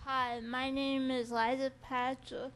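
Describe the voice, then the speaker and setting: A woman from Maryland with a high voice and a very slow pace. She introduces herself in an earnest, encouraging way. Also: little pitch movement, fairly bright tone, slight background noise. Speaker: conference attendee. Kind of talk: self-introduction.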